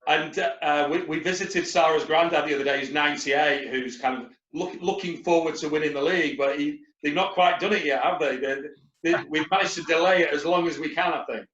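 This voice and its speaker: A man's voice: on the high side, fairly quick, fairly bright tone, echoey room, muffled recording. A man, a recruitment company leader, talking on a webinar panel in a rambling, anecdotal way with lots of fillers. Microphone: video call.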